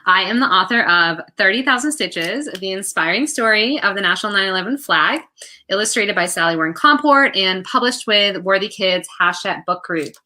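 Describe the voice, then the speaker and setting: A woman with a clearly bright, forward voice delivers a prepared video message. She is articulate and earnest, speaking at a medium pitch as an author addressing viewers. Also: animated, normal speed. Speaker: children's book author and art teacher.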